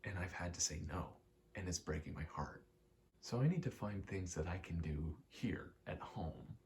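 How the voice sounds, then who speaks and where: Very deep, creaky-voiced young man rambling casually. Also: darkish tone, slight background noise. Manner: self-deprecating, profane. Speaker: ASMR video creator. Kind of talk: rambling casual ASMR talk.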